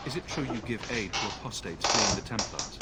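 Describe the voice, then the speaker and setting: An actor as a low, stern game hero. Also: male voice, lively intonation, brisk, heavy background noise, natural voice. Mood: probing. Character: blunt, stern male fantasy game hero. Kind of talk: video game dialogue acting.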